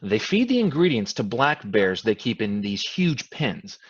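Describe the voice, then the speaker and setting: A man's voice: on the low side, sing-song, unhurried, breathy edge, dull audio, full character voice. A man acting an eager, casual newcomer in a staged play reading, persuasive and often stammering. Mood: proud, salesy. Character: eager, casual, wheedling newcomer with expensive booze.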